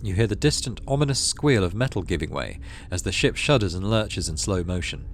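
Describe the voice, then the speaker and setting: A man's voice: deep voice, expressive, a little fast, a little hiss or hum. A man hosting a gaming video, reading story text aloud expressively and adding casual, musing commentary.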